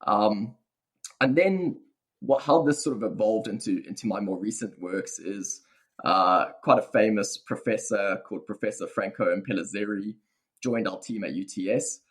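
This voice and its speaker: A man's voice: lowish voice, normal speed, breathy edge. A young man with a South African accent, a researcher answering podcast interview questions in a thoughtful, hedging way. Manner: thoughtful, hedging with many fillers. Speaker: guest researcher.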